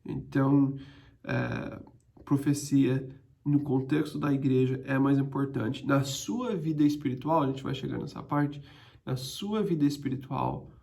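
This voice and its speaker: A man's voice: mid-range voice, unhurried, gravelly, darkish tone. A young man speaking Portuguese, teaching a casual Bible study with many hesitations and restarts. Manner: hesitant, frequent restarts and fillers.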